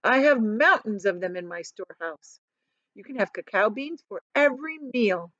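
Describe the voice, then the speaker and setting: A woman voicing an eccentric, proud and excitable male chocolate factory owner in a theatrical, emphatic storybook manner. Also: mid-range voice, moderate pace, dry recording, highs cut off. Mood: eager, persuasive. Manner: theatrical, emphatic, stretching key words.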